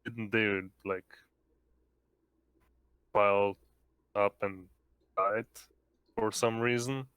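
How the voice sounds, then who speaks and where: A man's voice: on the low side, steady tone, drawn-out words, clear, resonant tone, no echo, lo-fi sound. A man playing a tabletop game, talking casually and searching hesitantly for his words.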